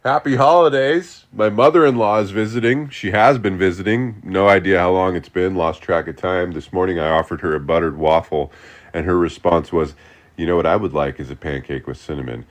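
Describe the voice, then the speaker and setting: Male, low-pitched voice, deadpan, comic storytelling monologue. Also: a little fast.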